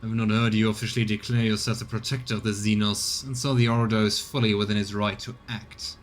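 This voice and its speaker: A man's voice: lowish voice, level tone, brisk, natural voice. A man voicing a venomous, sarcastic male inquisition agent from a game. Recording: clear background noise.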